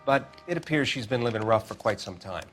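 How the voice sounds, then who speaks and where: A man's voice: fairly low pitch, animated, a little fast, a bit bright, faint music behind. A man playing a composed coroner in a video game, speaking in a precise, detached, formal way. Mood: clinical, detached. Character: clinical, composed male coroner.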